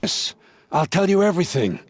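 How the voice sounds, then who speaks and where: Haunted tribesman, swinging pitch. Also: very animated, moderate pace, no room sound. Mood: fearful, awed. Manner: dramatic, haunted delivery. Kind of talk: dramatic video game cutscene acting.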